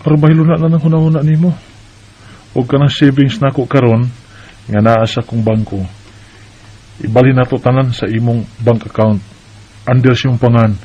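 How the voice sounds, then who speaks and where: A man's voice: mid-range voice, fairly even intonation, moderate pace, long pauses, husky, lightly acted. A man with a Bisaya Filipino accent playing a married man placating his girlfriend in a radio drama. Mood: reassuring, persuasive. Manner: persuasive, placating. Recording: dull lo-fi sound, faint background noise.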